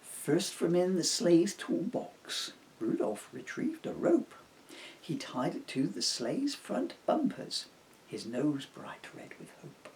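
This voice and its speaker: A man reading a rhyming children's storybook aloud with an expressive storytelling manner. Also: a little high, a little hiss or hum, low-quality recording. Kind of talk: children's storybook narration in rhyme.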